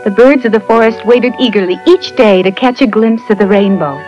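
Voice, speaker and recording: A woman narrating a scripted children's fairy tale in an expressive storytelling manner. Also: mid-range voice, a little fast. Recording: muffled lo-fi audio, faint music behind.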